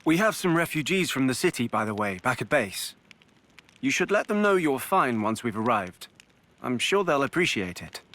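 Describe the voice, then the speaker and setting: An actor playing a composed, courteous leader of a refuge base in a video game. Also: male voice, medium pitch, big pitch swings, moderate pace, pushed and bright. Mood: considerate. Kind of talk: video game cutscene acting. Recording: music behind the voice.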